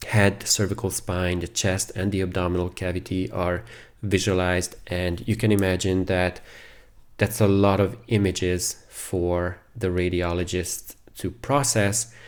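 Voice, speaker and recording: Male medical lecturer, deep register, unhurried delivery, halting explanatory phrasing. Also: darkish tone. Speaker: radiologist giving a lecture. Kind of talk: medical lecture over slides.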